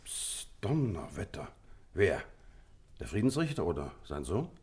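A hearty old-soldier colonel in a detective radio play. The male voice sits fairly low. He talks bluntly and emphatically, with the jovial authority of a country host. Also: huge pitch swings, measured pace, a little mellow, husky, full character voice. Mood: shocked, curt. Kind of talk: German radio play acting.

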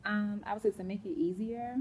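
A woman, a school counselor chatting as an interview guest, warm, with many ums. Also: medium pitch, a little fast, a little hiss or hum. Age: late 30s. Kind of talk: casual interview talk. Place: large indoor sports facility studio.

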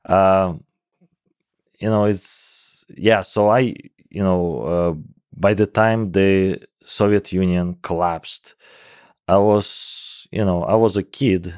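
This man in his forties is a native Russian speaker with a thick Russian accent. He speaks very slowly and haltingly, in a low register, as a guest in a casual podcast conversation. The sound is close and dry. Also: a little mellow, dry recording. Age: 40s. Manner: halting, hesitant phrasing. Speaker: interview guest, professor of computational biology. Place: small indoor podcast room. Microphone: close microphone on boom arm.

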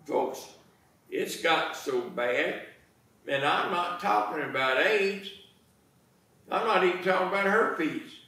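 An American man in his sixties preaching a sermon in a folksy, deliberate manner, pausing between phrases. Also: sits high, expressive, sharp tone. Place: church sanctuary, indoors. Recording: very echoey church, distant microphone.

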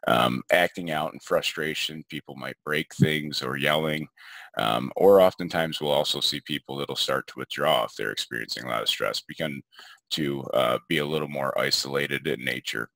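Very deep-voiced man giving a webinar talk. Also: moderate pace, gravelly, fairly bright tone, no echo. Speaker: behavior health educator presenting. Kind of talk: informal webinar presentation over slides.

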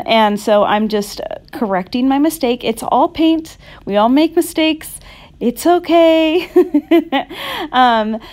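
A woman giving a casual painting tutorial in an unhurried, halting, reassuring way. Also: fairly high pitch, clean tone. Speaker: art instructor. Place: indoors at a craft table.

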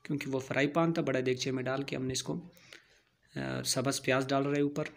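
A man's voice: mid-range voice, flat delivery, fairly quick, creaky. Guy explaining a recipe step by step, easygoing, says stuff twice. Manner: plain step-by-step instructions, repeats phrases. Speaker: home cooking channel host. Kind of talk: voice-over cooking tutorial.

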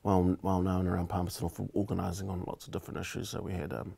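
New Zealand man, forties, low gravelly voice, thoughtful interview guest. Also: flat delivery, fast, mellow. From Cook Islands heritage, raised in New Zealand. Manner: thoughtful, restarts phrases often. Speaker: political candidate, guest. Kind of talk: relaxed interview conversation. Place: small indoor studio set.

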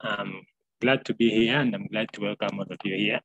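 A young man from Uganda co-hosting an online session, introducing the next presenter on a laptop call from a small room at home, pausing often with fillers. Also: on the low side, steady tone, vocal fry, a bit bright, muffled recording, slight background noise. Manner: hesitant, many filler pauses. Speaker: co-host. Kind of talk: introducing speakers on a video call. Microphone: laptop webcam on video call.

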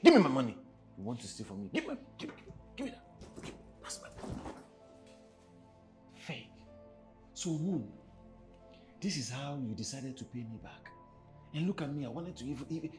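An actor playing an angry wealthy husband who has been robbed and shouts accusations. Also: male voice, medium pitch, close to an everyday voice. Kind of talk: Nigerian drama skit acting.

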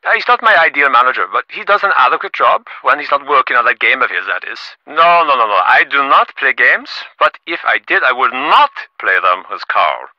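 A man's voice: medium pitch, very animated, fairly quick, few pauses, no echo, highs cut off, close to an everyday voice. A man voicing a smug, impatient rich businessman in a video game, glib and self-important, with stammering, sarcastic delivery. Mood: smug, casual. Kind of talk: acted game dialogue.

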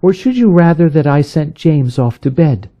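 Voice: medium pitch, big pitch swings, a little slow, dark tone, highs cut off, voice pushed up. A man voicing a kindly wife who comforts an old friend in a raised, soothing voice. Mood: comforting.

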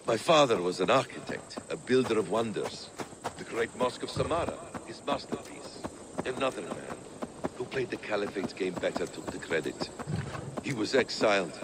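An actor playing a worldly, thoughtful warrior-scholar in a video game, with a measured, eloquent, poetic delivery. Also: male voice, fairly low pitch, animated, background noise. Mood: reflective. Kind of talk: dramatic video game acting.

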